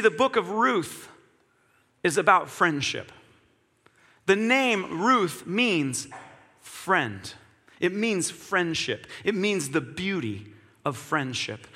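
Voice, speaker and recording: A man's voice: sits high, pushed and bright, in a large hall. A man preaching a sermon in an earnest, reflective way, repeating key phrases.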